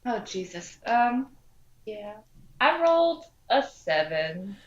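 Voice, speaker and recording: A woman's voice: medium pitch, very animated, slow. A woman playing a tabletop game, chatting casually and hesitantly, with some swearing. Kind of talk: casual chat during play. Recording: roomy, slightly dull sound.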